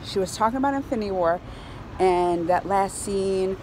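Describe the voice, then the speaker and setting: A middle-aged woman giving a casual, excited review to camera in an animated, colloquial way. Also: medium pitch, moderate pace, poor audio. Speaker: vlogger reviewing a film. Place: outdoors on a high-rise terrace in a city. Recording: clear outdoor ambient city noise.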